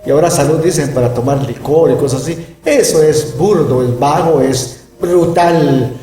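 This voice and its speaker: Emphatic Bible teacher, echoey room. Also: male voice, on the high side, moderate pace, mellow, distant mic. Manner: emphatic, peppers speech with "¿verdad?". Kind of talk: recorded Bible lesson. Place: room with closed blinds.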